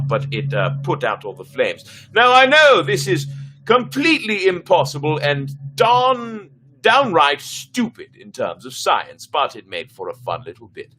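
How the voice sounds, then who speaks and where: A man's voice: on the high side, pushed and bright, slight background noise, rough recording. A man chatting casually as host of a live story reading, playful and theatrical in his delivery, poking fun at his own writing. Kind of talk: casual livestream chat.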